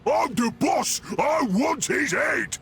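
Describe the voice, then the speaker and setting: A man voicing a belligerent, bullying ork warboss in a video game, gruff, gravelly and shouting. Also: very high-pitched, animated, slow, faint music behind, full character voice. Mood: furious. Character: loud, belligerent male ork warboss pilot, greedy and bullying. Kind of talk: video game character acting.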